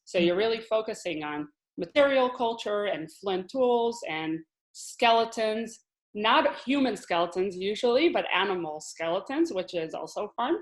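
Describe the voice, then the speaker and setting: A woman's voice: mid-range voice, animated, moderate pace. A woman in her thirties, originally from Israel, talking casually as an interview guest about her life, and laughing often. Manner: chatty, laughs often, with restarts. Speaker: Hebrew teacher, guest.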